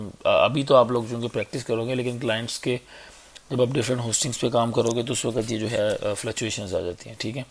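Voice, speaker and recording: A man with a sore, hoarse throat giving a casual, chatty screen-recorded web design tutorial on a basic mic. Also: medium pitch. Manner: casual, chatty, fills gaps with "okay" and "theek hai". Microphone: basic mic, a better one on order.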